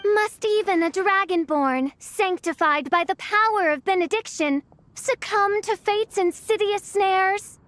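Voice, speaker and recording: A woman's voice: extremely high pitch, measured pace, fairly bright tone, dry recording. A woman voicing a theatrical teenage girl who styles herself a princess in a video game, portentous and archaic in her speech. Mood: grandiose, doomful. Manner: grandiose, archaic diction, portentous. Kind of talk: video game character acting.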